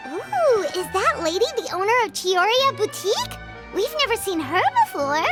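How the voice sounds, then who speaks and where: A squeaky, excitable little companion sprite. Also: female voice, normal speed. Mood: curious. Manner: chirpy, refers to herself in the third person. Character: tiny floating female companion, chatty, excitable. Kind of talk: game voice acting. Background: game music.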